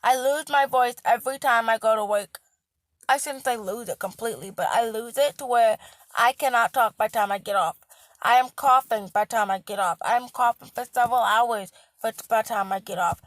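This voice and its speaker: A young woman who works in healthcare, hoarse with a cracking voice, lecturing bluntly, repeating herself and swearing for emphasis. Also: a little high, fairly quick, no echo. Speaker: healthcare worker. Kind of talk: casual vlog rant.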